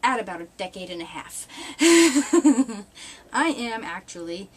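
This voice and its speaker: A woman's voice: fairly low pitch, a little slow, fairly bright tone. A woman in her thirties from New England, chatting to a webcam in a small room, rambling and wry with frequent laughs and mild swearing. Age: 30s. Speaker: vlogger. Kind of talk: casual chat. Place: small indoor room with red cloth backdrop.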